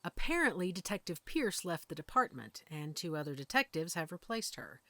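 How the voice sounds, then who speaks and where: A woman plays a werewolf mother in charge of her pack in an audio roleplay. Her voice sits fairly low and is recorded dry. She gives orders calmly, with a protective firmness. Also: normal speed, dry recording, exaggerated voice. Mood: wary, serious. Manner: calm, commanding, protective.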